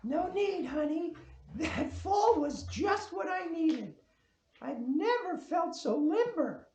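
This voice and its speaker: A woman's voice: animated, darkish tone, heavily acted. A woman playing a giddy, amorous 108-year-old aunt in a theatrical, gushing way at a comedy table read. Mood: perky, exuberant. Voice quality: high-pitched. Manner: slow, theatrical, gushing delivery. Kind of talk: comic film script table read. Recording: echoey room.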